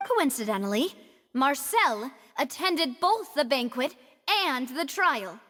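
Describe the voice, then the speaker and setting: An actress playing a determined, earnest young woman in a video game, speaking deliberately like a detective making an accusation. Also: sits high, big pitch swings, sharp tone, full character voice. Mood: confident. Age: young adult. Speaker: voice actor in a game. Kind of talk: dramatic video game dialogue.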